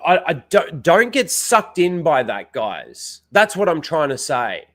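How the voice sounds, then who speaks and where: Brief: young guy, streamer, stuffy nose, rambling chat, a touch higher than most men. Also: big pitch swings, a little slow, fairly bright tone. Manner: rambling, filler-heavy, reads out chat names. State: blocked nose. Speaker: live stream host. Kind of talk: casual rambling livestream chat.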